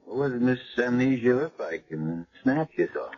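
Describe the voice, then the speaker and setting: Brisk doctor guy, husky voice that swings up and down, always asking stuff. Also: mid-range voice, expressive. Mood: questioning. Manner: brisk, inquisitive. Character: male hospital doctor, brisk, questioning, informal. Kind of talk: 1970s radio drama acting. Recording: dull vintage radio recording.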